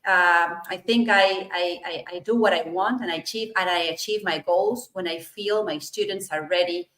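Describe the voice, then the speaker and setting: A woman's voice: lowish voice, moderate pace, fairly bright tone, echoey room, poor audio. A middle-aged woman from Argentina, an English teacher, answering interview questions fluently and with enthusiasm.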